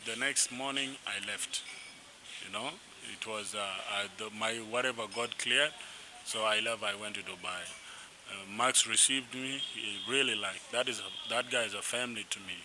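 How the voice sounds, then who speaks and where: A young man from South Sudan, a musician being interviewed, telling his story in a rambling, animated way with restarts. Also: fairly low pitch, normal speed. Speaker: musician guest. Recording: noisy background.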